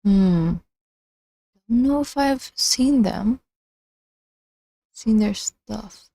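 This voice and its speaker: A woman hosting a casual livestream, chatting in a halting way as she thinks out loud. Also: medium pitch, lively intonation, vocal fry, no echo, rough recording. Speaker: livestream host.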